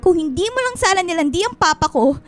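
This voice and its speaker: A guilty young wife in a Filipino radio drama. Her voice is very high, dramatic and defensive, able to plead or bite back, over background music. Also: lively intonation, quick pace, no echo. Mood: defiant, scornful. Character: guilty, defiant young wife, sharp-tongued daughter. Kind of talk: Filipino radio melodrama acting. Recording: music bed behind the voice.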